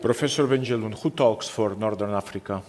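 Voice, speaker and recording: A man moderating a forum panel, putting brisk questions to the speakers. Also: on the low side, darkish tone.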